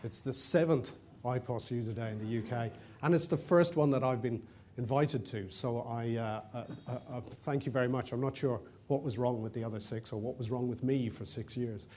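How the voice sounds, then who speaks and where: A middle-aged Irish man speaks to a conference audience in a warm, mellow voice at an ordinary pitch. He rambles a little, joking about himself, with plenty of fillers and restarts. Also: moderate pace, slight background noise. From Ireland. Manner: chatty and self-deprecating, with frequent fillers and restarts. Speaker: conference presenter. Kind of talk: conference talk.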